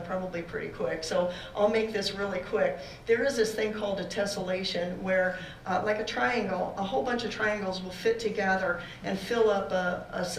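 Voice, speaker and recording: A woman presenting a beginner beekeeping class to a room, loud-voiced and talking in a halting way with fillers and restarts. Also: mid-range voice, a little hiss or hum. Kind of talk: classroom lecture with slides. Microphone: far room recording, no mic for a while. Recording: echoey room from a distance.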